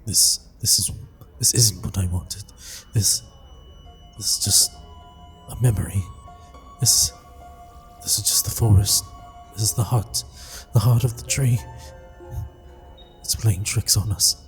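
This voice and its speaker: A frightened winged doctor acted by a man in a fantasy game, withdrawn and despairing. The low, halting voice pleads breathily over clear background music. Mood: desperate denial.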